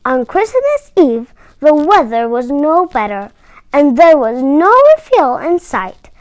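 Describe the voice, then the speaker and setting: A woman storyteller reading a children's storybook aloud with expressive, emphatic phrasing. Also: high-pitched, slow, dry recording, poor audio.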